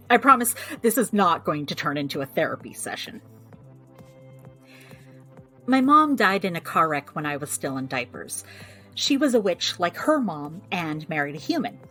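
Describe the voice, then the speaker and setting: Young American witch healer woman actor, wry and confiding, low-leaning animated voice, audio drama narration, faint music. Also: slightly rough, heavily acted. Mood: wry, explaining. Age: young adult. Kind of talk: scripted first-person audio drama narration, conversational.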